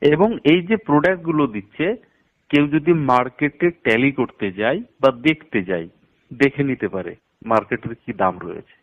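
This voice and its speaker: A man's voice: mid-range voice, fairly quick. A man explaining a business plan step by step over a phone call, like a promoter instructing a prospect and stressing the figures. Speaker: network marketing promoter. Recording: dull, lo-fi phone call audio.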